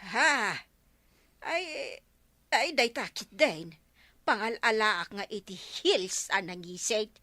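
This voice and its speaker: A woman's voice: mid-range voice, expressive, slow, sharp tone, no echo. A woman playing a reluctant, complaining older village auntie in an Ilocano radio comedy drama, drawing out her plaintive lines.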